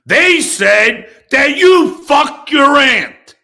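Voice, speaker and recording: Very slow-talking man on an angry rant. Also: very high voice, animated, gravelly, sharp tone, shouting. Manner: confrontational, profane, emphatic with dramatic pauses. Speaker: online show host. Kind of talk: angry personal rant addressed to an absent rival.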